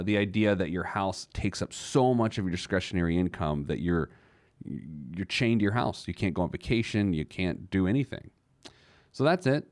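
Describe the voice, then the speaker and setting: A man with a low voice talks as the host of a money advice show. He is conversational yet emphatic, posing questions and answering them himself. The sound is dry and close. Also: no room sound. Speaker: host of a personal finance show.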